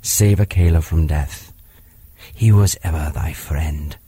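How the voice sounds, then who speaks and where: Man doing a low slow storybook panther, calm and wise, kinda husky. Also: no echo, heavily acted. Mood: grave, gentle. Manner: gentle, grave, archaic speech.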